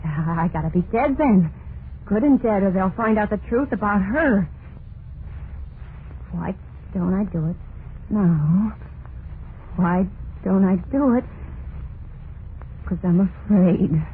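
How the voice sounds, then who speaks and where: An actress playing a terrified, unhinged wife in an old-time radio horror monologue, halting and overwrought, full of guilt and dread. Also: female voice, lowish voice. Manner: halting, overwrought, drawn-out dramatic delivery. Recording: noisy narrow-band vintage radio recording.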